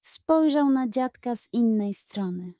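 Unhurried, mellow woman narrating a children's story. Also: a little high, animated, dry recording, clean studio sound. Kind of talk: scripted bedtime story narration.

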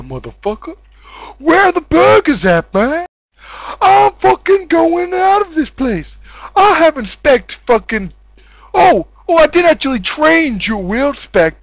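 A man's voice: very high-pitched, dry recording. A man doing an exaggerated, profane, mocking read-aloud of game forum posts.

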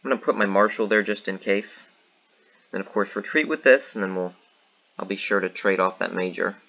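A man's voice: fairly low pitch, fairly quick, muffled recording. A man giving casual commentary on his own board game match, thinking aloud with lots of ums and uhs. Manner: thinking aloud, relaxed, many fillers.

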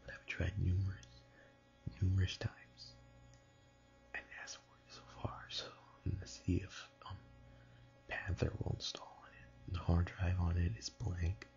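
A man whispering a casual late-night tech vlog in a halting way, as if thinking aloud. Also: sits low, vocal fry, darkish tone, muffled recording. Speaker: amateur tech vlogger.

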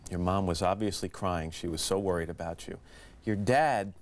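American guy hosting morning tv, really low voice, bit of background noise. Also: normal speed. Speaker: TV host. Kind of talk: morning show interview. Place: television studio.

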